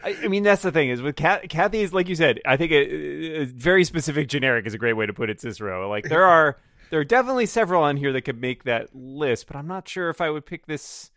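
A man's voice: on the high side, animated, fast, a bit bright, no echo, clean studio sound. A man chatting casually and playfully as a panelist on a game show.

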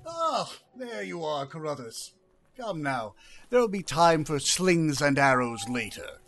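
Jovial gentleman, highish voice, swooping intonation, breezy, radio play. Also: very animated, a little slow, heavily acted. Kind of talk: radio theater acting.

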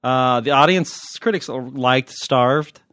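A man's voice: medium pitch, takes it slowly, fairly bright tone, no echo, studio quality. An American man hosting a podcast, answering listener questions in casual, unscripted chat with a wry, joking manner. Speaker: podcast host and TV critic.

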